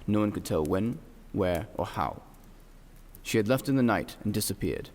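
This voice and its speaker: An actor playing a reserved, stern young clergyman in an audio drama, speaking formally with dry, measured delivery. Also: on the low side, animated, darkish tone. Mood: cool, deliberate. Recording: long hall-like reverb.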